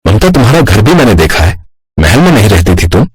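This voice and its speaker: A man voices a young village son in a cartoon family tale. He has a very low, husky voice in a dry recording and speaks earnestly and plainly. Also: sing-song, brisk, no echo, full character voice. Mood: scolding. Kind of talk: Hindi animated moral-story acting.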